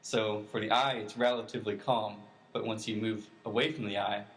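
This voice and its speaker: Male interview guest, fairly low voice, informal expert explainer, plain-spoken delivery. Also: fairly even intonation, normal speed, rough recording.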